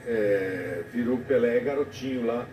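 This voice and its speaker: A male TV commentator reflecting on a live broadcast, talking haltingly with many restarts. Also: mid-range voice. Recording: TV audio recorded off the air from a distance in an echoey room, noisy.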